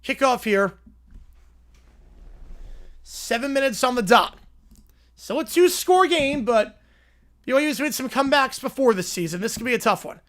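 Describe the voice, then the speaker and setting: A young man from Long Island hosts a football watch-along stream, calling each snap and then drifting into easy chat with his viewers. His voice sits fairly high for a man. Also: expressive, normal speed, long pauses, fairly bright tone. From Long Island, New York. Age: 20s. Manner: chatty, answers viewer questions between plays. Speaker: livestream host and play-by-play commentator. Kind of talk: casual live play-by-play with viewer chat.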